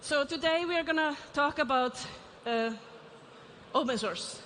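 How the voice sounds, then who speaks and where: High-voiced Icelandic woman on stage. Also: unhurried, fairly bright tone, slight background noise. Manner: asks the audience questions, light asides. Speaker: keynote speaker, CEO. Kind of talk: conference keynote. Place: large conference stage. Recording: reverberant hall, faint ambience.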